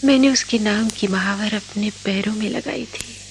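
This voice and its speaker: A woman's voice: mid-range voice, moderate pace, creaky, soft background music, lightly acted, rough recording. A woman acting in a radio drama as a shy, lovestruck young college girl, with a hesitant, emotional manner. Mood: dreamy longing.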